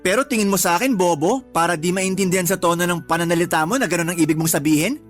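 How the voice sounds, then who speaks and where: Man acting as fast-talking, defensive young husband. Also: high-pitched, close to an everyday voice. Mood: defensive, irritated. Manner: defensive, sulky, sarcastic. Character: defensive, irritable young stay-at-home father. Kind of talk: Tagalog radio drama acting. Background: background music.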